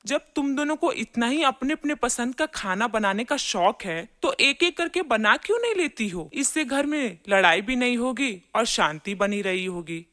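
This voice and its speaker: An exasperated mother-in-law from a cartoon family tale, acted by a woman. Her voice sits somewhat high, and she scolds her bickering daughters-in-law as the one in charge of the household. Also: expressive, normal speed, few pauses, a bit bright. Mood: scolding. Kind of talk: animated moral story dialogue.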